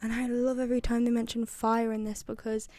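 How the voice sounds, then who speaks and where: A woman's voice: on the high side, flat delivery, brisk, dark tone. A young woman giving casual, enthusiastic, informal commentary as the host of a video game fan video.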